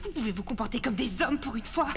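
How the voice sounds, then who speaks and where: Exasperated teen girl leader, woman acting, anime dub: high, animated, commanding. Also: quick pace. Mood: bossy. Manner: commanding, easily flustered. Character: bossy, exasperated teenage girl leading her friends, anime. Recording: loud music over the voice.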